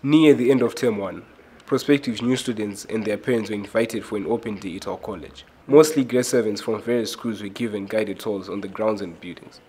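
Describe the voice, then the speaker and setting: A man's voice: on the low side, a little fast, dark tone, slightly rough. A man narrating a scripted report for a school newsreel.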